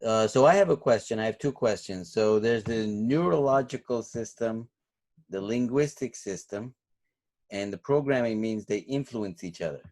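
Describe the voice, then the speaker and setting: A man's voice: fairly low pitch, moderate pace, darkish tone. A man whose first language was Turkish, a course instructor giving feedback and asking questions in a class, rambling and thinking aloud with many fillers.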